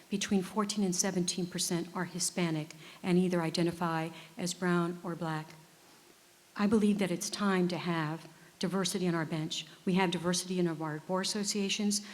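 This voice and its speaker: A woman in her fifties, a judicial candidate, speaking earnestly and in a measured way at a public forum. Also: on the low side, steady tone. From United States. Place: performing arts center stage. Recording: reverberant auditorium.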